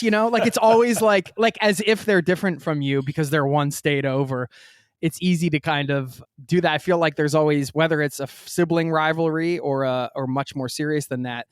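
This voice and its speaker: A man's voice: medium pitch, no room sound, studio quality. A man in his forties from Pennsylvania, hosting a podcast and asking long, rambling questions while he searches for words. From Pennsylvania, United States. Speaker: podcast host. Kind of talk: casual interview chat.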